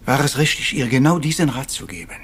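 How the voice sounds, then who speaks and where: Husky, courteous Belgian detective. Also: male voice, medium pitch, lively intonation, quick pace. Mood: brooding. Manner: formal, courteous, sprinkles in French phrases. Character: proud, brooding Belgian male detective, middle-aged, courteous. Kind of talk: retro German detective radio play acting.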